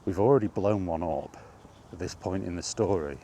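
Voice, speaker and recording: A man's voice: low voice, normal speed, dark tone, a little hiss or hum. A man talking casually while out on a walk, rambling and correcting himself, getting worked up over his point. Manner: rambling, self-correcting, grows exasperated. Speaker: vlogger. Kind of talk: casual walking monologue. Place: outdoors on a walk.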